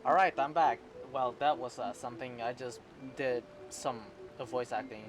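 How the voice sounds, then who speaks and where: Guy streamer, mid pitch, rambly casual chat, game music clearly behind him. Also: normal speed.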